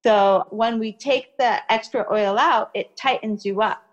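A woman's voice: on the high side, a little slow, lo-fi sound. A woman raised in America by Iraqi parents, explaining skin care in a chatty interview and often checking in with "okay?" and "you know". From Iraqi family, raised in America. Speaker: skincare expert guest.

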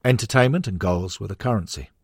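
A man's voice: on the low side, fast, breathy edge, no room sound, clean studio sound. A man narrating a non-fiction sports history book in a scripted audiobook reading.

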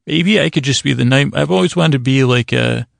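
A man with a creaky voice hosting a bedtime podcast, talking in a soothing, meandering monologue with halting pauses and digressions. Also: mid-range voice, dry recording. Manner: soothing, lulling delivery. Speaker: podcast host.

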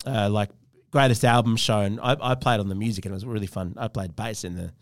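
A man's voice: lowish voice, steady tone, fast, dry recording. A man hosting a podcast in a casual, joking, conversational way. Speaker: podcast host. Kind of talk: casual chat.

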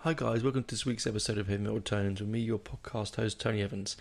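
A man hosting a music podcast in a casual, rambling way, his voice croaky from a cold. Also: lowish voice, steady tone, fast. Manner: rambling, many ums and restarts. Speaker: podcast host.

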